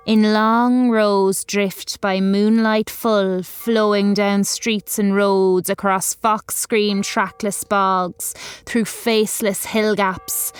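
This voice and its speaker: A woman narrates scripted horror like a solemn incantation. Her voice is smooth and of middling pitch, and she takes her time over each poetic phrase. Faint music sits behind her.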